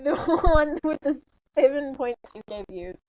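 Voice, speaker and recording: A woman's voice: sits high, expressive, a little slow, darkish tone, no room sound, low-quality recording. A woman chatting casually and reading aloud informally with a friend, giggly and often breaking off to react. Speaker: podcast co-host reading fan fiction aloud.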